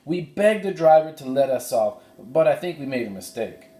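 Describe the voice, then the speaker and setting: Man doing an eager, higher-pitched little girl. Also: big pitch swings, moderate pace, dark tone, lightly acted. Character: cheerful, bold, eager young girl. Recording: roomy, echoey sound.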